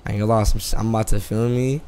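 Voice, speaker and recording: A man's voice: lowish voice, fairly even intonation, fast talker, warm tone, a little hiss or hum. An American man chatting on a casual gameplay stream in brash, profane, repetitive street slang. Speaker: live streamer playing a GTA roleplay game.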